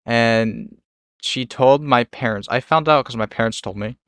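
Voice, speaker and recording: Young man, relaxed casual talker, close dry sound. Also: mid-range voice, a little slow, no echo. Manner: easygoing, laughs easily. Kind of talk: casual chat.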